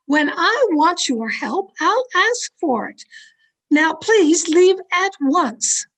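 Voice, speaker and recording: A woman's voice: very high voice, sing-song, slow pace, a bit bright, full character voice. A woman playing an anxious, guarded mystery novelist in an old-time radio drama, with a formal, theatrical delivery. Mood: sharp, commanding. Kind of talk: old-time radio drama acting, first-person suspense narration. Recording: remote video-call recording with music behind.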